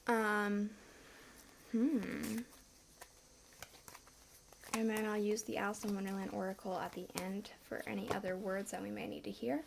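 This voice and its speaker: A woman giving a casual tarot reading, still congested, with a slight cough and a bit winded, talking in a relaxed, chatty way with many fillers. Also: mid-range voice, unhurried, creaky, pauses often, background noise. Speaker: tarot reader.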